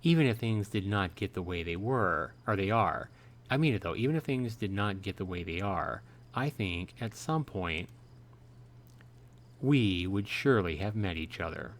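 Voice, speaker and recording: A man's voice: low voice, expressive, normal speed. A man hosting a live play-through of a visual novel, reading it aloud with casual commentary and reactions. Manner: reads the dialogue aloud, then paraphrases and reacts.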